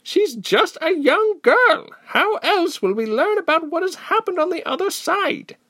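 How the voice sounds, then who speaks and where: A shrill, soaring council woman leaps to defend a young girl, indignant and pleading, as a male narrator puts her on. Her voice swings widely and sits very high, recorded dry and close. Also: very animated, a little slow, sharp tone, no echo, exaggerated voice. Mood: protective. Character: high-pitched concerned woman council member.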